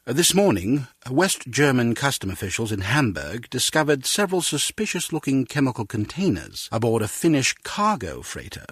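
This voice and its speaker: An actor playing a measured, authoritative spy agency director in a video game, with a husky voice and formal manner. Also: male voice, mid-range voice, sing-song, fairly bright tone, no echo, clean studio sound. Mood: deliberate.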